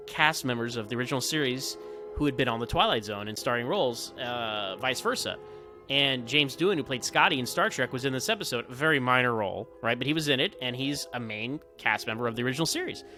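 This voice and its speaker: A man's voice: medium pitch, bright, forceful tone. A man giving casual fan commentary on TV episodes in a chatty, enthusiastic, rambling manner. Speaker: podcast host calling in as guest reviewer.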